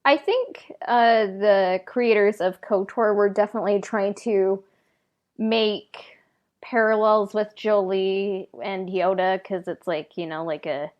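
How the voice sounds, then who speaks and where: A woman's voice: mid-range voice, fairly even intonation, slow. A woman in a casual podcast discussion, speaking informally in loose, conversational phrases. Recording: slightly dull, lo-fi microphone sound.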